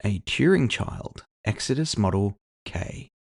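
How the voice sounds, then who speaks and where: A man voicing a brash, sharp-tongued woman with a casual, sardonic, teasing manner in a cyberpunk audio drama. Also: lowish voice, big pitch swings, measured pace, gravelly, slightly rough, heavily acted. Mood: matter-of-fact. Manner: casual, sardonic, foul-mouthed. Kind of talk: audio fiction character dialogue.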